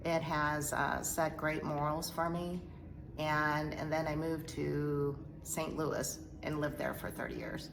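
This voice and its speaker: A middle-aged woman from Korea speaks slowly in a low, gravelly voice. She talks hesitantly, with pauses and fillers, as she tells her story in an interview. A faint hiss sits under her voice. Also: flat delivery, low-quality recording. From South Korea. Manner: hesitant, halting, soft-spoken with many fillers. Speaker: interview guest. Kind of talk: personal testimony interview.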